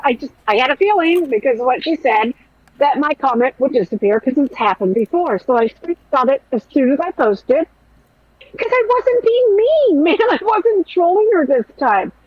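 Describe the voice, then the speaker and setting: Woman podcast host, nasal and whiny, foul-mouthed and animated; dry, muffled lo-fi sound. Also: sits high, no echo. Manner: blunt, profane, animated. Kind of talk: casual commentary chat.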